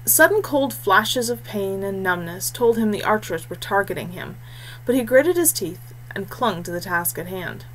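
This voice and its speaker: A woman's voice: medium pitch, expressive, normal speed, a bit bright, slight background noise, rough recording. A woman reading a scripted fantasy story aloud as its narrator.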